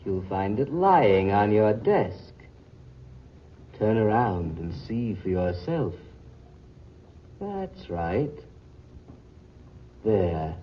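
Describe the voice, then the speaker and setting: An actor playing the vengeful ghost of a murdered man in 1940s radio horror style, speaking in a deliberate, taunting and ominous way. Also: lowish voice, sing-song, a little hiss or hum, heavily acted. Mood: eerie taunting. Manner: slow, deliberate, ominous delivery. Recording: dull old 1940s radio recording, music behind.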